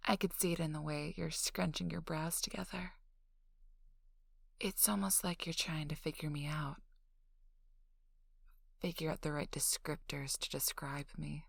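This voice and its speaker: Woman playing a slow, sultry, teasing socialite. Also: low voice, creaky, no echo, studio quality, exaggerated voice.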